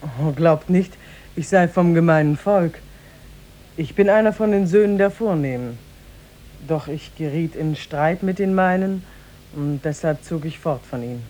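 A woman's voice: low-pitched, a little slow, warm tone. Radio play, 1950s: young disguised female ruler, regal, formal, commanding declamation. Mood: proud, composed. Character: young former slave woman ruling as disguised sultan, commanding.

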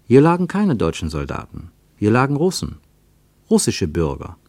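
A man narrating a literary German radio play as a reflective storyteller, with measured, lyrical phrasing. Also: lowish voice, huge pitch swings, warm tone, dry recording, full character voice. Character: reflective male narrator of a literary travel account.

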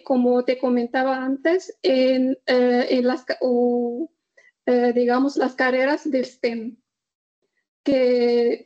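A woman from northern Morocco, answering interview questions in a halting way, pausing often to search for words. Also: sits high, warm tone, highs cut off. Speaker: guest.

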